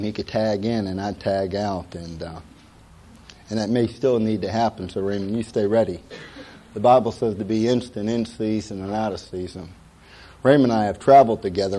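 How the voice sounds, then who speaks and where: A middle-aged man preaching a sermon as pastor, hoarse from a cold, folksy and joking, with many "uh" fillers. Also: fairly low pitch, mellow.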